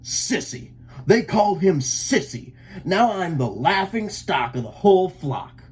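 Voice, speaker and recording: A man voicing an exasperated father duck from a storybook, loud and insistent. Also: high voice, sing-song, slow, slightly rough, pitched above the performer's own voice, exaggerated voice. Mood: shouting, upset.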